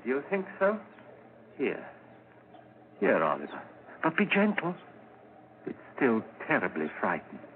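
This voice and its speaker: An actor playing a gentle, idealistic, anxious man in an earnest, melodramatic 1940s radio style. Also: mid-range voice, wildly swooping pitch, unhurried, long pauses, narrow, tinny audio. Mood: tender concern. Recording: thin, noisy 1940s radio recording.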